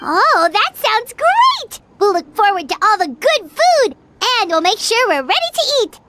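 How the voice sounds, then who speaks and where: Piercingly high, squeaky little fairy girl. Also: wildly swooping pitch, measured pace, over music, exaggerated voice. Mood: excited. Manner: refers to self in third person, squeaky and exclamatory. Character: small floating fairy-like girl companion, excitable and greedy. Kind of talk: video game voice acting.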